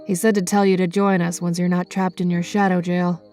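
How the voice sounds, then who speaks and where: A woman's voice: fairly low pitch, flat delivery, fairly quick, darkish tone, faint music behind, close to an everyday voice. An actress playing a sceptical, sarcastic female werewolf in a radio drama, with dry delivery. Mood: dry sarcasm.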